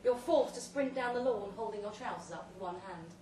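A woman reads a short story aloud at a live event, delivering it theatrically in measured, deliberate phrases. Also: mid-range voice, darkish tone. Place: pub event room, read aloud live. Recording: roomy, distant live recording with faint noise.

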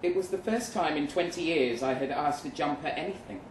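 A man giving a measured, dramatic live reading of literary first-person fiction. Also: fairly high pitch. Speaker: story reader. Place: pub event room, live reading. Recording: roomy, distant live venue recording, faint noise.

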